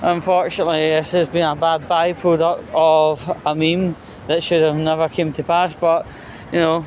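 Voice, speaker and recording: A Scottish man giving a blunt, sweary, aggrieved monologue in halting phrases, like a casual vlogger's rant. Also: on the high side, flat delivery, darkish tone. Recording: clear traffic and outdoor noise.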